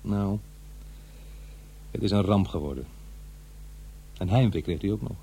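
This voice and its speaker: A low-voiced, uneasy middle-aged father. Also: gravelly, mellow, husky, heavily acted. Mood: agitated, rueful. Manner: halting, hesitant, self-interrupting. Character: divorced middle-aged father, uneasy and defensive office man. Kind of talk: radio drama monologue and dialogue, conversational confiding delivery. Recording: faint noise and hum.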